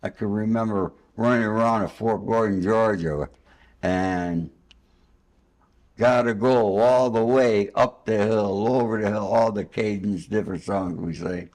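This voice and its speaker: An older male interviewer with a fairly low voice. He talks casually and off the cuff, drifting into anecdotes. His manner is rambling and a little boastful. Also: a little slow, a little mellow. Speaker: interviewer and host. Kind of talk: spontaneous casual conversational interview.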